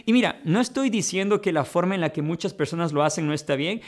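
A man's voice: high-pitched, animated, fast. A man hosting a conversation, reflective and coaching in tone, often saying "mira" and calling his guest brother. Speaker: podcast host and life coach. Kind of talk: conversational interview.

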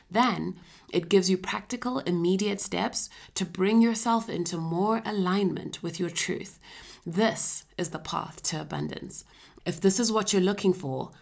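Low, creaky woman reading a promo. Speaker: promo narrator. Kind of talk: scripted promotional narration.

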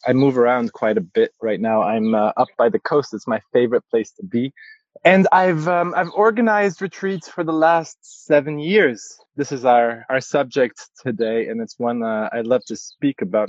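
A man's voice: mid-range voice, moderate pace, no echo, muffled recording. A man who grew up speaking Arabic, a yoga teacher guest on a podcast, talking hesitantly with many restarts and easy laughs. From Egypt. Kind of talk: podcast interview.

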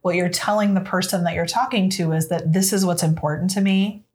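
A woman's voice: lowish voice, steady tone, fairly quick, a little mellow. A woman in a group discussion, reflecting and explaining her view in a conversational style. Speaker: panel discussion participant.

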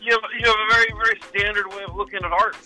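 High, forceful-voiced man in his thirties. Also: steady tone, racing, soft background music, low-quality recording. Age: 30s. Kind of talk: casual chat with friends.

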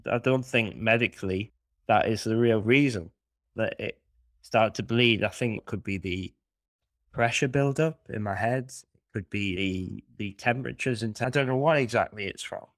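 Male podcast co-host, lowish pitch, chatty storyteller, dry booth sound. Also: moderate pace, no echo. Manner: rambling anecdotal storyteller. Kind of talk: casual chat.

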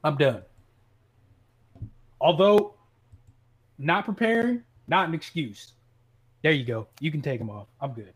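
Higher-voiced man, insistent sports podcaster. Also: expressive, unhurried, long pauses, breathy edge. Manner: insistent, slangy, repeats himself. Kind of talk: casual, argumentative sports chat.

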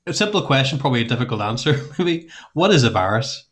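A man's voice: medium pitch, sing-song, fast, fairly bright tone. A man hosting a podcast, introducing guests in casual chat with friendly chuckles and many ums. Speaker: podcast host.

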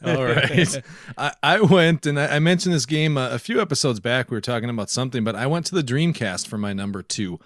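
A man's voice: medium pitch, fairly quick, a bit bright, dry recording, clean studio sound. A jovial man hosting a casual podcast chat about video games and steering the discussion. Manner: jovial, laughs readily. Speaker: podcast host leading the discussion.

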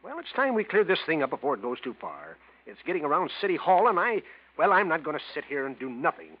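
A blustering, protective older husband with a husky, raised voice that swings widely in pitch. The old radio play sounds dull and muffled. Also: male voice, sing-song, quick pace. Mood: worried. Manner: blustering, forceful outbursts. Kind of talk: 1940s radio acting.

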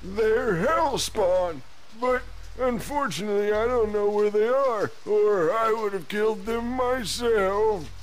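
A man's voice: sits high, slow pace, rough recording. An actor playing a cranky, muddled old man who grumbles bluntly in a horror comedy audio drama. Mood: grumpy.

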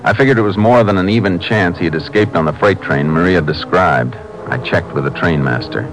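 An American actor playing a calm, methodical Texas Ranger in a 1950s radio drama, speaking in a measured, matter-of-fact way as investigator and narrator. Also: male voice, sits low, soft background music, lightly acted, poor audio. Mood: narrating, grave.

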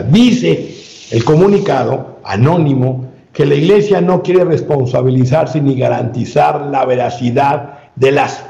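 A man speaking as an interview guest, giving a long measured explanation with frequent filler sounds and restarts. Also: fairly high pitch, vocal fry, dark tone. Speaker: guest researcher. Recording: roomy, dull lo-fi sound.

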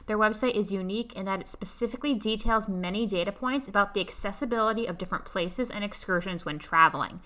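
A woman's voice: mid-range voice, quick pace, few pauses, pushed and bright. A woman hosting a podcast, giving a polished, upbeat intro and then interviewing a guest. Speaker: podcast host.

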